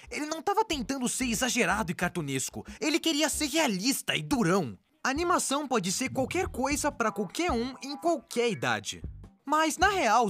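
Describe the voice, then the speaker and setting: Brazilian female narrator for comic explainer, high register, animated swings, playful and sarcastic. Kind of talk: scripted comedic narration, dubbed.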